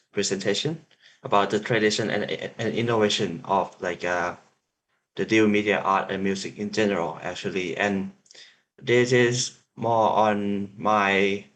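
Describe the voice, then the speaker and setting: Slow, halting Thai-accented young man. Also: low voice, fairly bright tone. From Thailand. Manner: halting, many fillers and restarts. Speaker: sound artist presenting a webinar talk. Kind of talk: online presentation.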